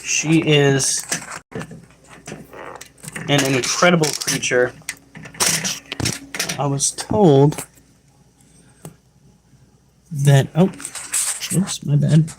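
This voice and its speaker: Livestream host: man, slightly higher voice, unhurried, chatty explainer. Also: background noise. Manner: chatty, explains tarantula care while answering chat. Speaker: livestream host and pet keeper. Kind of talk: casual livestream chat.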